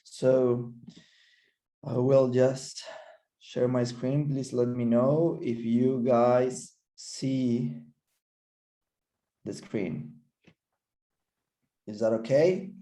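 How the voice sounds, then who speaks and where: A man whose first language is Spanish, speaking English with a Spanish accent, gives an online physiotherapy lecture, often halting and repeating words as he searches for English. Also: mid-range voice, steady tone, dark tone, roomy sound. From Chile. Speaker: physical therapist presenter.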